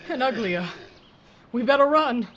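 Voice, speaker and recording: A tough, bossy sheriff, voiced by a woman, talks in a blunt frontier drawl and barks orders. Her voice sits a little high. The audio is slightly dull, with a faint hiss behind it. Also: lively intonation, unhurried. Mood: shouting.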